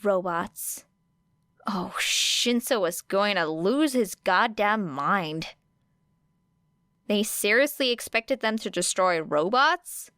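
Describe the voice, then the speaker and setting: A woman reading a fiction audiobook as narrator, with expressive scripted delivery. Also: mid-range voice, takes it slowly, clear, resonant tone, no room sound, clean studio sound.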